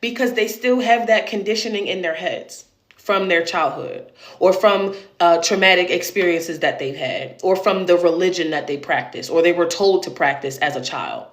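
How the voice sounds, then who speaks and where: A woman with a deep voice, talking straight to camera in a blunt, emphatic monologue with plenty of swearing. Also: normal speed. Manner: blunt, emphatic and profane, with repeated punchy phrases. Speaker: online creator and educator. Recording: roomy echo.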